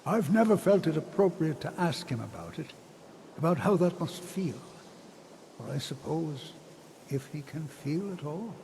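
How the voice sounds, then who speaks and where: Kindly old wizard, fantasy game, creaky higher-set voice, reflective, hesitant, measured. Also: male voice, sing-song. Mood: grave. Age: older. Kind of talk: fantasy video game character dialogue. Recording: long hall reverb, noisy ambience.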